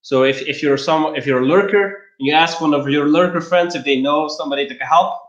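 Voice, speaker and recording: A man's voice: fairly high pitch, a little fast, fairly bright tone. A man from Belgium, explaining things casually and step by step as an interview guest. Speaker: interview guest, LinkedIn marketer. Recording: roomy, slightly dull online-call audio.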